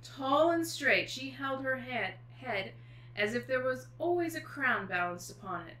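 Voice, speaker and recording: A woman author speaking as a guest, with an expressive, informal delivery for reading aloud and chatting. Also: sits high, a little slow. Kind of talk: expressive book reading and casual chat. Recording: echoey room with faint noise.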